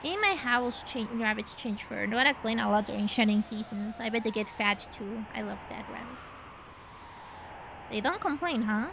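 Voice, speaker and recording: A woman from Chile chatting casually and excitedly while streaming a game, with the odd swear. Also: on the high side, normal speed, gravelly, background noise. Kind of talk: casual gaming livestream chat.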